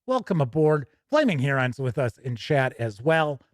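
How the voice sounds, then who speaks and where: A man's voice: mid-range voice, very animated, normal speed, no echo, clean studio sound. A man hosting a tabletop gaming news stream, reading items aloud casually and adding chatty, informal asides.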